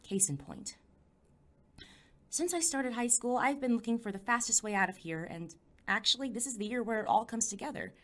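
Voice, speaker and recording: A young woman acting a wry, anxious teenage high school student in a scripted audio drama, talking conversationally with hesitant asides. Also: fairly bright tone, close to an everyday voice. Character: teenage girl, sarcastic, anxious, determined high school junior.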